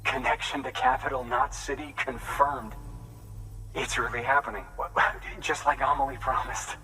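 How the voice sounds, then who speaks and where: An actor playing a weary, earnest waystation worker in a video game, speaking in an emotive, halting way. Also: male voice, medium pitch, steady tone, pushed and bright, far from the mic, a little hiss or hum, close to an everyday voice, poor audio. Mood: awed, excited. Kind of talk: cinematic game dialogue.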